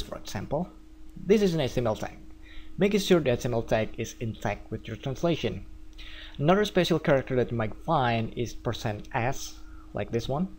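A man from Indonesia with an Indonesian accent, walking viewers through a software tutorial step by step. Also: mid-range voice, a little hiss or hum. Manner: steady step-by-step explaining. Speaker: theme developer. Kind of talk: screencast tutorial.

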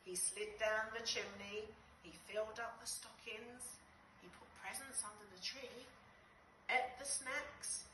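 A middle-aged woman reading a picture book to young children in an expressive, deliberate way with dramatic pauses. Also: fairly high pitch. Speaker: nursery school storyteller. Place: small nursery classroom, indoors. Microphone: camera a few metres away. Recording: roomy, distant, noisy phone-style video.

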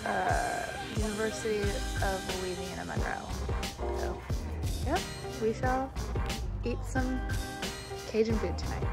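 A woman's voice: medium pitch, takes it slowly, vocal fry, pauses often. A young woman chatting casually as she films a travel vlog on a handheld camera. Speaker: travel vlogger. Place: varies: moving car, small cabin, outdoors. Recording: loud music behind the voice.

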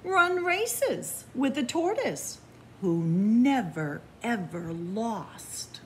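A woman reads a children's picture book aloud at a leisurely pace. Her pitch is mid-range and moves up and down expressively, with deliberate pauses between phrases. Some room noise sits behind her. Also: very animated. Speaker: librarian reading picture books aloud to children. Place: indoors in a library room with bookshelves.

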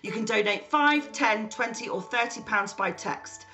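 A woman's voice: a little high, a little slow, pushed and bright. Woman's appeal voice-over over music. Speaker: charity appeal announcer.

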